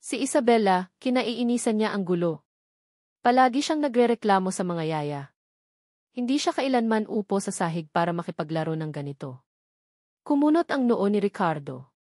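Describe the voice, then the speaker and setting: A woman's voice: mid-range voice, lively intonation, moderate pace, a little mellow, no room sound, studio quality. A woman with a Filipino accent reading a scripted dramatic story as its narrator.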